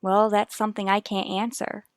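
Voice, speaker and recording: A woman's voice: medium pitch, little pitch movement, normal speed, dry recording, studio quality, lightly acted. An actress playing an earnest, anxious but determined wife and mother in a 1950s radio soap, formal and firm. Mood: polite, uneasy.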